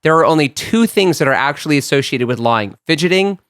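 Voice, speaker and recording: A man's voice: fairly high pitch, lively intonation, brisk, fairly bright tone, no room sound, clean studio sound. A man co-hosting a casual podcast, reading quiz items off a list and laughing easily. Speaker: podcast co-host running a quiz.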